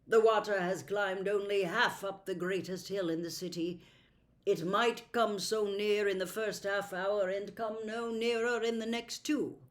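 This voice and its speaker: A noble young prince of a storybook kingdom, acted out by a woman reader, speaks boldly and formally. His delivery is slow and courtly, projected like a leader rallying his friends. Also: medium pitch, steady tone, lightly acted. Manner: formal, declamatory speech.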